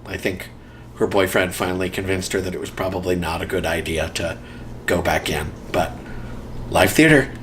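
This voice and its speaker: Man, lowish voice, rambling anecdotes, dry humor, faint room hum. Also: moderate pace. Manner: rambling, with frequent ums and restarts. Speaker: interviewee, community theater actor. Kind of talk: casual reminiscing interview.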